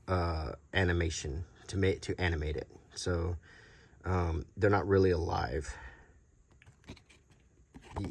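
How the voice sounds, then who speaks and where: A man's voice: deep voice, breathy edge. A middle-aged or older man giving unscripted, casual show-and-tell commentary in an easygoing, rambling way, thinking aloud. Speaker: host of a hobby collecting channel.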